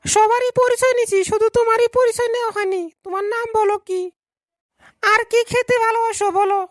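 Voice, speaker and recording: A woman acting as a cartoon lady teacher with an extremely high voice. Her melody stays flat and even as she politely instructs a class. The sound is close and dry. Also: brisk, dry recording. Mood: polite, instructing. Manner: instructing, addresses pupils in turn. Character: young female schoolteacher, polite but easily outwitted. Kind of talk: cartoon comedy dialogue.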